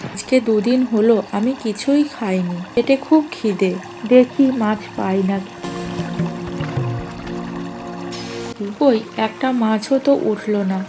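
A woman's voice: mid-range voice, unhurried, natural voice. A woman voicing a poor, hungry orphaned fisherwoman in a cartoon story, lamenting yet resilient. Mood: weary, hungry. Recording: clear background noise and ambience.

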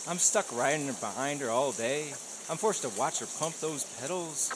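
A man's voice: mid-range voice, animated, normal speed, noisy background, lightly acted. A man playing a dim, tactless police officer who complains cluelessly in a comedic audio drama. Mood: oblivious complaining. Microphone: studio condenser mic.

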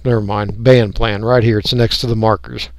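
An American man giving a casual, unscripted software walkthrough, folksy and rambling as he thinks aloud. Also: fairly low pitch, little pitch movement, normal speed, dry recording, clean studio sound. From United States. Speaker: radio hobbyist giving a software tutorial.

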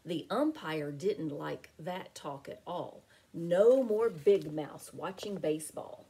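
Middle-aged female teacher for picture book read-aloud: mellow, slightly husky, sing-song delivery. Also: deep voice, slow. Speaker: teacher reading aloud to children. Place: small home room with window blinds.

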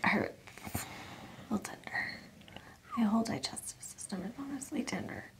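A woman's voice: on the high side, lively intonation, moderate pace, vocal fry, whispered. A young adult woman, a patient chatting casually during a chiropractic treatment in a small office. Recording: noisy room sound with clicks.

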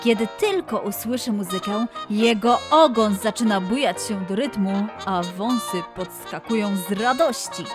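A woman narrating a scripted story for children as its storyteller. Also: mid-range voice.

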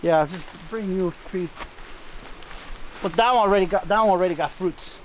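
Casual farm guide: middle-aged man, Costa Rican accent, Spanish native, high voice, light outdoor ambience. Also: fairly quick, slight background noise. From Costa Rica. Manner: repeats words, simple non-native English phrasing. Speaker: farmer showing his homestead. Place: outdoors in a tropical food forest.

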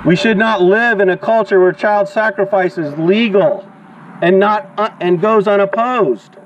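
A high-voiced man preaches in the open air through a megaphone, exhorting in a declamatory protest style. The recording is dull, with faint to clear outdoor traffic noise behind him. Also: measured pace. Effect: megaphone amplification. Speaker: street preacher and activist. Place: outdoors on grass beside a sidewalk and parking lot. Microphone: action camera beside the megaphone.

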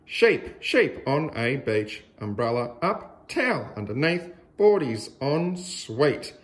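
An Australian man reading a picture book aloud to young children in a deliberate, sing-song way with exaggerated emphasis. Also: mid-range voice, very animated.

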